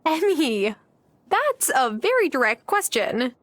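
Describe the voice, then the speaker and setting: A high, very animated voice plays a young woman new to island farm life. She is friendly and curious, with a light teasing touch, in cozy video game style. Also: slow, no echo, exaggerated voice. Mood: surprised, friendly.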